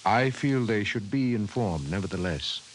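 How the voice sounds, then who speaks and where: An actor playing a grave, precise professor in 1950s radio drama style, formal and measured. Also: male voice, on the low side, animated, close to an everyday voice, low-quality recording. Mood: somber, doubting. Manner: measured, formal, emphatic delivery. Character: grave, precise male scientist, a government professor. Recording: hissy 1950s radio recording.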